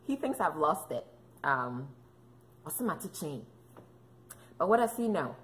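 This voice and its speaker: A woman's voice: deep voice, very animated, measured pace, a bit bright. A woman, chatting casually to camera as a vlogger, playful and joking with lots of fillers. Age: 30s. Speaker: fitness and mom vlogger. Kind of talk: casual chatty vlog talk.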